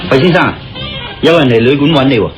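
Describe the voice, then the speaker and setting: A man playing a hurried, deferential hotel attendant in a radio drama, with a Hong Kong Cantonese accent. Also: medium pitch, lively intonation, over music, natural voice, poor audio.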